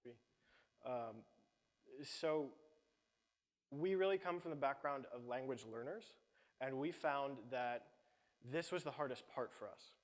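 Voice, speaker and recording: Man, business cofounder, steady explanatory talk, middle pitch, echoing hall. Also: measured pace, long pauses. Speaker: startup cofounder, business lead. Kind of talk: audience Q&A pitch answer. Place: event hall. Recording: long hall reverb.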